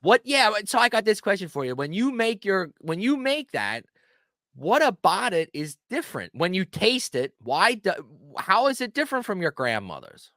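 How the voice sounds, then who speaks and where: Man from Pennsylvania, host, lively swinging pitch, playful chat. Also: moderate pace, dry recording, clean studio sound. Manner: animated, playful questioning. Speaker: channel host. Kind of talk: casual chat.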